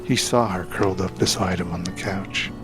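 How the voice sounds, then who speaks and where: A man narrating a dramatic scripted story in a measured, solemn way, with phrases sometimes falling hushed and breathy. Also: deep voice, fairly even intonation. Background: background music.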